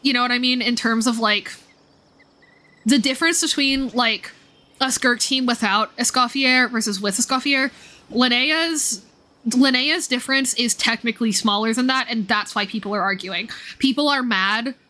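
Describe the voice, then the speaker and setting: A woman's voice: fairly high pitch, moderate pace, sharp tone. A woman live streamer chatting casually with viewers about a game, rambling in an informal, slangy way. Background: music.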